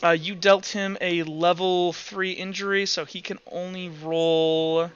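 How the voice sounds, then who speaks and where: Bright, high-pitched man running a tabletop game. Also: flat delivery, a little slow, muffled recording, soft background music. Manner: casual, slangy. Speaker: game master. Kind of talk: narrating a tabletop roleplaying game.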